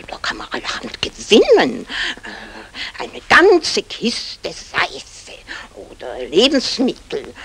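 A woman's voice: mid-range voice, fairly bright tone, a little hiss or hum, heavily acted. An actress playing a gushing, gossipy woman in a vintage German radio comedy. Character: gushing, gossipy woman explaining a soap contest. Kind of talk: 1960 German radio comedy crime play.

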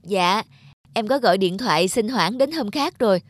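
A woman's voice: medium pitch, animated, moderate pace, no room sound, studio quality, lightly acted. A woman voicing a kind, earnest young friend in a cartoon drama, reassuring.

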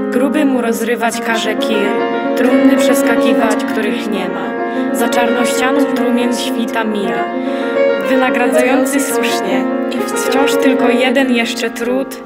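A woman's voice: on the high side, lightly acted. A young woman reciting poetry for a radio play. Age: young adult. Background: piano music.

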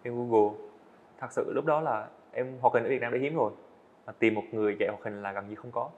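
Eighteen-year-old man, fast and earnest, muffled lo-fi podcast audio. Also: mid-range voice, a little mellow. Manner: earnest, candid, hesitant restarts. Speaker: guest animator. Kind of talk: podcast interview storytelling. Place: indoor studio set. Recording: dull lo-fi sound.

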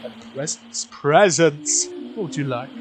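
A man acts a reformed old miser in an audio drama, now a giddy, generous uncle. His voice runs high and rapturous, tumbling through repeats. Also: measured pace, slight background noise, lightly acted. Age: older. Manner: rapturous, breathless listing and repeating.

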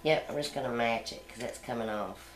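A middle-aged woman hosting a cooking channel, explaining step by step how to decorate a sugar egg at home in a relaxed, homey way. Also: a bass voice, brisk, echoey room, slight background noise. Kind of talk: hands-on decorating tutorial.

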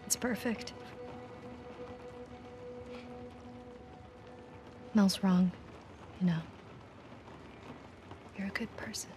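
An actress playing a gentle, earnest teenage girl survivor in a game cutscene, speaking in short plain sentences. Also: medium pitch, steady tone, slow pace, music in the background, natural voice. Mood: warm, sincere. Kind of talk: naturalistic game cutscene acting.

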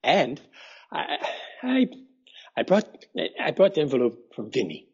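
A man acting out a gentle older driver who stammers politely, his husky voice swinging up and down as he speaks. The audio is a little dull, with faint music underneath. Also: fairly high pitch, big pitch swings, unhurried, full character voice. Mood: hesitant. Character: older male driver, gentle, proud grandfather.

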